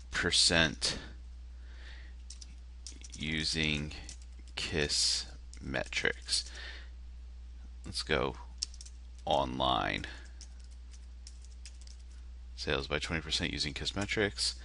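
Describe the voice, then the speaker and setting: Nasal low-voiced man talking through a page. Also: bright, forceful tone. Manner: self-deprecating, hesitant with many fillers. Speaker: marketer critiquing a landing page. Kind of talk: casual screen-share walkthrough.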